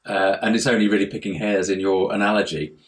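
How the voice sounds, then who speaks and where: A man's voice: fairly low pitch, steady tone, fast, low-quality recording. A man talking as an interview guest over an online call, explaining his ideas in a hesitant, digressive way, often repeating words. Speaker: interview guest, house healer and life coach.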